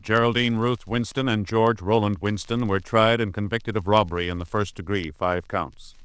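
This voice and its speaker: A man announcing in a formal, official, measured style on a 1950s radio broadcast. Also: lowish voice, steady tone, fairly bright tone, smooth, dry recording. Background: music.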